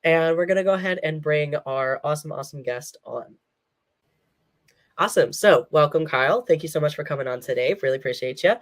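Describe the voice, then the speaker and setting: A man's voice: fairly high pitch, fairly quick, a bit bright. A man hosting a sports talk show, congested from a cold, chatting in a rambling way and laughing between phrases. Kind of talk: casual solo sports rundown.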